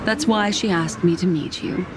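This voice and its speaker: An actress voicing a calm, composed woman who speaks in a measured, reassuring way, in a game scene with music behind her. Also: fairly low pitch, noisy background, close to an everyday voice. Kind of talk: game voice acting. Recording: game dialogue over music.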